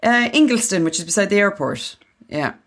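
A woman's voice: mid-range voice, expressive, brisk. A woman of about forty with an Irish accent, chatting casually and informally as a podcast co-host, with the odd swear word. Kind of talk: casual chat.

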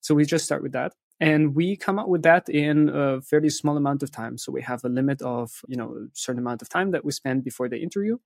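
A man's voice: mid-range voice, brisk, gravelly, hardly any pauses, no echo. Man explaining thoughtfully, dry close sound. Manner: often tags statements with a questioning "right?". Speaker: interview guest, content agency specialist.

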